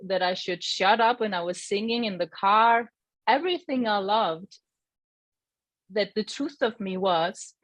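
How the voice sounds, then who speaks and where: A woman from Iceland, giving a gentle, reflective personal testimony as a guest and often searching for her words. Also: medium pitch, no room sound, low-quality recording.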